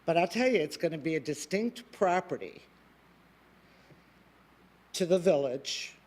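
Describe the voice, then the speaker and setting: Man speaking at a public meeting, halting. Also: a little high, expressive, a little mellow. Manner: halting, many fillers and restarts. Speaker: developer at a planning meeting.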